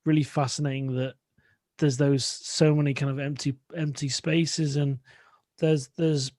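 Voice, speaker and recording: A man's voice: a little high, flat delivery, measured pace, a little mellow, no room sound, clean studio sound. Chatty man hosting a podcast. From United Kingdom. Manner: rambling, repeats words while thinking aloud. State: tired after a day of shooting. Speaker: podcast host. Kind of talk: casual remote interview chat.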